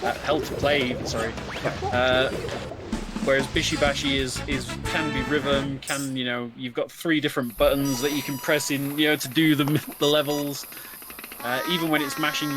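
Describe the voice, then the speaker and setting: A man's voice: mid-range voice, fairly even intonation, normal speed. A man giving casual speedrun commentary, explaining the game in a hesitant way. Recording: loud game music behind. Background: loud video game music.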